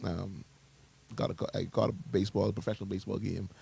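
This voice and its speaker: A man's voice: low-pitched, steady tone, fairly quick, creaky, dark tone, husky. A man in his thirties co-hosting a morning radio show in casual banter. He laughs a lot and stumbles over repeated words. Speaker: radio co-host.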